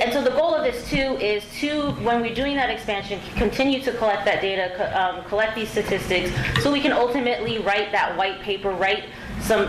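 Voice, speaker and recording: A woman speaking as a panelist in a panel discussion, earnest, often restarting and repeating phrases. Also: medium pitch. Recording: echoey room with background noise.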